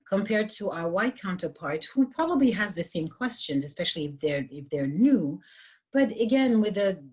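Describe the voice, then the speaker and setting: A woman's voice: on the low side, darkish tone. A woman with a French accent, a yoga teacher, speaking earnestly and reflectively in a panel discussion, with frequent restarts. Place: online video call.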